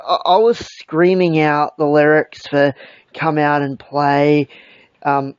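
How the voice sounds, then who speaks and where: A young Australian man with a croaky voice, hosting a casual podcast chat, rambling and swearing with lots of fillers. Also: a little high, steady tone, takes it slowly, dry recording. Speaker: podcast host. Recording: dull lo-fi sound.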